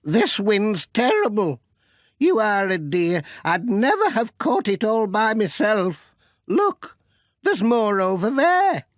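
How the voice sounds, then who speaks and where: A man's voice: sits high, big pitch swings, slow, no room sound, clean studio sound, pitched above the performer's own voice, exaggerated voice. A man voicing a kindly, fussing elderly village granny in a children's storybook, exclaiming and chatty. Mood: flustered, grateful.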